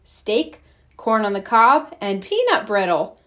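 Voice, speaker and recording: A woman reading a children's storybook aloud with expressive, deliberate narration. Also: mid-range voice. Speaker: storybook reader.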